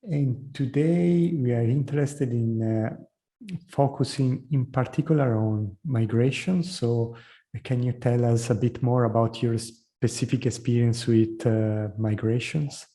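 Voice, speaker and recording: A man's voice: mid-range voice, measured pace, mellow. A man hosting an interview, asking questions hesitantly with many uhs. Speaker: interview host.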